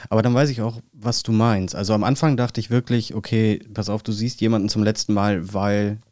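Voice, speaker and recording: A man talking in a calm, measured way in a casual chat, with dry humor. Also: on the low side, no echo.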